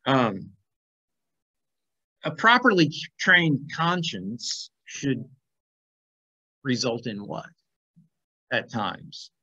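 A man's voice: mid-range voice, big pitch swings, drawn-out words, vocal fry, pauses often, sharp tone, breathy edge. Dull lo-fi middle-aged male Bible teacher. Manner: conversational, poses questions to the class. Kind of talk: informal religious class, reading aloud and commenting. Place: small plain room, indoors. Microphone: video call, computer microphone. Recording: dull, lo-fi video call audio.

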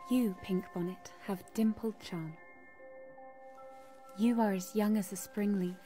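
Actress as a lonely young milliner in a fantasy radio play, courteous, medium pitch, music behind. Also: female voice, fairly even intonation, unhurried, warm tone, lightly acted. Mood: whimsical. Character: polite, lonely young hat-shop girl. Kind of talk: radio drama acting. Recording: music under the voice.

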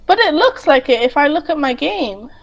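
A woman's voice: sits high, sing-song, moderate pace, muffled recording. A giggly woman chatting casually as she plays a game, often breaking into laughter. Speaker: gamer in group voice chat.

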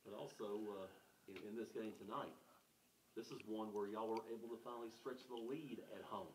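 A man's voice: lowish voice, steady tone, brisk. A man, a reporter asking questions at a press conference in loose, conversational phrasing. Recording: distant roomy room mic with faint noise.

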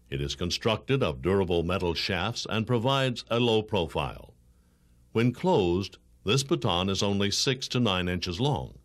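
A man with an American accent reads scripted instructional narration in a formal, measured, authoritative way. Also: fairly low pitch. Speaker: training film narrator.

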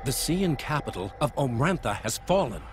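A man's voice: medium pitch, big pitch swings, moderate pace, noisy background, close to an everyday voice. A man playing a formal, deferential but probing magistrate in a video game cutscene. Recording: loud background music.

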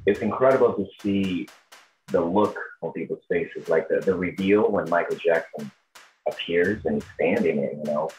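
A man's voice: low-pitched, moderate pace, darkish tone, a little hiss or hum, rough recording. A man in his thirties from Brazil with a Brazilian accent, giving informal interview answers as a performer. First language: Portuguese. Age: 30s. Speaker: tribute show performer, interviewee.

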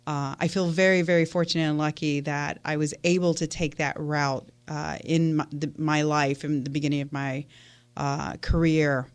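A woman's voice: low voice, level tone, normal speed. A woman from Houston, Texas, a guest on a radio interview, telling her story in a chatty way with many fillers. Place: radio studio.

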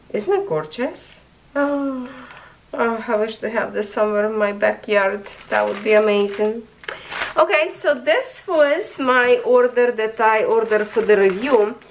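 Slow, high-voiced hoarse woman reviewer. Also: expressive, slight background noise, rough recording. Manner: chatty, enthusiastic, talks to viewers as friends. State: hoarse, losing her voice. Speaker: craft reviewer. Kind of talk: casual unboxing review. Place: indoors at a tabletop.

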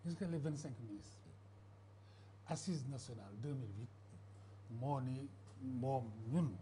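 Senegalese guy on a tv panel pushing his point, warm voice, faint studio hum. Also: mid-range voice, unhurried, low-quality recording. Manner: insistent, interrupts back. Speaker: political guest. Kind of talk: televised political debate. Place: TV studio set. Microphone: lapel.

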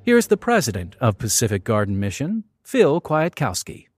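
A male radio announcer reads scripted continuity over music. His mid-pitched voice moves very widely up and down. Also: wildly swooping pitch, normal speed, dry recording.

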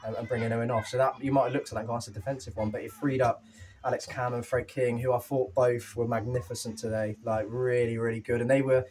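A young man talking after a football match as the team's manager, a little out of breath, animated and candid, with many fillers and restarts. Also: medium pitch, fast talker. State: slightly out of breath. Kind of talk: post-match interview. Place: small clubhouse room with painted wooden walls.

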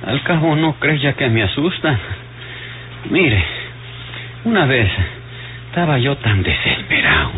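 A dying old Mexican rogue in a vintage radio serial. He talks haltingly and sardonically, with rustic words and groans, his pitch swinging widely as he drags out each phrase. The old recording is dull and hissy. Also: big pitch swings. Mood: sardonic, rambling. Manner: rustic, sardonic, colloquial speech. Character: ailing, bitter, sardonic dying old man, repentant rogue.

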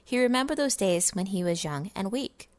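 A woman's voice: medium pitch, animated, moderate pace, a little mellow, dry recording. Woman doing a dramatic storytime read, sing-song rhymes, mid voice, dry close audio. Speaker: gaming video creator reading a story. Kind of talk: dramatic storybook reading in verse.

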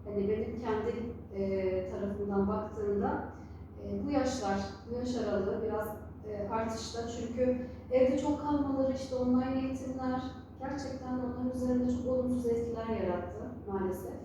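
Echoey, distant, high-voiced woman. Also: steady tone, moderate pace. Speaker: interview guest, story therapist and family counsellor. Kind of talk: conversational interview answers. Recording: very echoey room, distant mic, faint noise.

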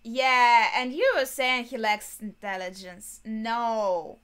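A young woman giving casual reaction commentary, thinking aloud in a halting way. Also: fairly high pitch, fairly even intonation, a bit bright, clean tone. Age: young adult. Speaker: reaction video host. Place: small bedroom, indoors.

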